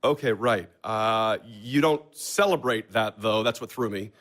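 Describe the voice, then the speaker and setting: An actor playing Max, a gruff, blunt friend with a deadpan, crude way of talking in an audio drama. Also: male voice, fairly low pitch, measured pace, slight background noise. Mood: blunt, sardonic.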